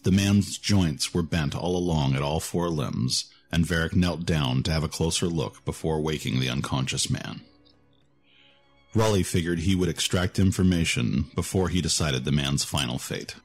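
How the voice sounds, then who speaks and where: A man's voice: rumbling low, normal speed. A man narrating a scripted fantasy story as the narrator of an audio drama. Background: music.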